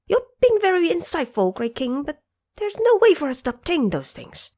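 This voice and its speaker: Panicky little monkey subjects, very high, lively, flattering, a woman voicing them. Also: moderate pace. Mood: anxious. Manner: anxious, flattering.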